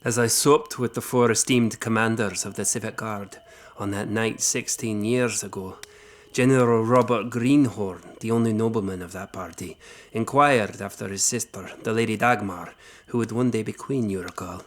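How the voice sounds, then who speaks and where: An actor playing a discreet veteran soldier in a fantasy audio drama, careful, formal and hesitant. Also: male voice, lowish voice, fairly even intonation, normal speed, close to an everyday voice. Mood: solemn recounting. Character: discreet veteran soldier recounting his past, reserved. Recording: background noise with ambience.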